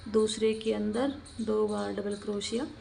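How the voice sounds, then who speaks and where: A woman's voice: medium pitch, flat delivery, a little slow, gravelly, warm tone, soft background music. A woman narrating a step-by-step craft tutorial, explaining in a repetitive, instructional way and counting aloud.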